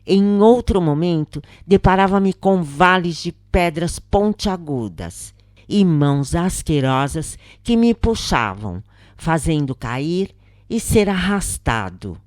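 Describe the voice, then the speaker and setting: A Brazilian woman narrates in a low-pitched voice at an unhurried, slow pace. Her reading is solemn and theatrical, with deliberate phrasing. The sound is very dry, with no room around it. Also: animated, darkish tone, no room sound. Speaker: narrator reading a spiritist account. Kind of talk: dramatic scripted narration.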